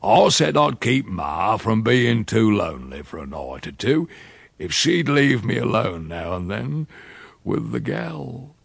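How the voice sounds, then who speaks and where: A man voicing a gravelly old rustic uncle. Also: on the low side, sing-song, no echo, heavily acted. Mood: chuckling. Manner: slow, chuckling drawl with dialect grammar. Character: sly old country uncle, boastful rustic yarn-spinner. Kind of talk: storybook reading.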